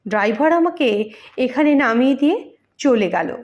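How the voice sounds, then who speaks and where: Woman reading an earnest middle-aged gentleman, high-voiced. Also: lively intonation, normal speed. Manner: formal, courteous, emphatic, mixing in English phrases. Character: earnest, polite middle-aged male photographer from out of town.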